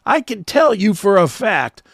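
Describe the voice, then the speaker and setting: Man, middle-aged, American, high-pitched voice, close dry sound, blunt opinionated talk show banter. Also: sing-song, measured pace, vocal fry, fairly bright tone, no room sound. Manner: blunt, swearing, ranting. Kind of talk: casual, opinionated chat.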